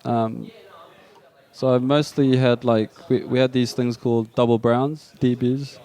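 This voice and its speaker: This is a man who grew up in New Zealand, appearing as a comedy guest on a couch at a club. He talks in an easygoing, halting way at a middling pitch and leans on lots of fillers. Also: flat delivery, warm tone. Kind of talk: casual interview chat.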